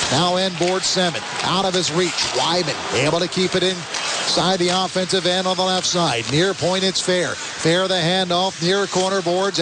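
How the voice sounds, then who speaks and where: A North American man calling a hockey game live on radio, in energetic, clipped phrases. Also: high-pitched. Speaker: radio hockey play-by-play announcer. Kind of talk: live sports commentary. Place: broadcast booth in a hockey arena. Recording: loud arena noise behind. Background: loud arena ambience.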